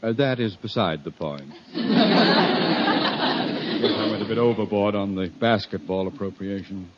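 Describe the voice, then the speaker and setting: A man's voice: lowish voice, moderate pace, over a noisy crowd, natural voice. A man playing a pompous, blustering middle-aged school principal in orotund 1950s radio comedy style. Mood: glum, indignant. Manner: pompous, orotund, exasperated delivery. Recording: muffled, noisy 1950 radio recording.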